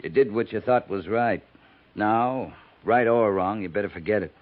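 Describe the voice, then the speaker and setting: An actor playing a firm, level-headed cavalry captain in a terse, commanding 1950s radio drama style. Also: male voice, lowish voice, normal speed, lightly acted. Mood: measured. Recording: noisy, narrow-band 1950s radio recording.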